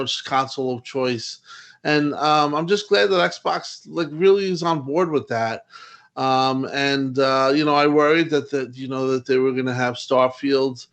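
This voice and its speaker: A man talking on a gaming podcast panel, rambling with lots of "you know" fillers and restarts. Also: medium pitch. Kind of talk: casual chat.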